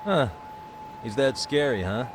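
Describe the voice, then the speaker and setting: A man's voice: mid-range voice, lively intonation, unhurried, background noise, lightly acted. A man playing a cool, wry, easygoing detective hero in a video game cutscene. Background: loud music.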